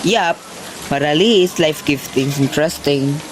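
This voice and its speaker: A woman's voice: sits low, unhurried, slight background noise, voice pushed down, poor audio. A woman voicing a wry, teasing male mouse sidekick with a dry delivery, for a cartoon puppet-show story. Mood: amused. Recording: music and rain behind.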